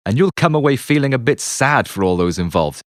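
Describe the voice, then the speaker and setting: A man reading his own memoir as an audiobook, in an expressive, confessional storytelling manner with dramatic pauses. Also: mid-range voice, no echo, studio quality. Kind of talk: scripted memoir narration. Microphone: close, dry studio narration mic.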